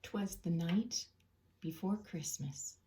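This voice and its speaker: Female storyteller for poetry read-aloud: mellow, deliberate, dramatic pauses; distant mic with faint noise. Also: lowish voice. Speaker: reader, storyteller. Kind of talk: expressive read-aloud of a classic Christmas poem.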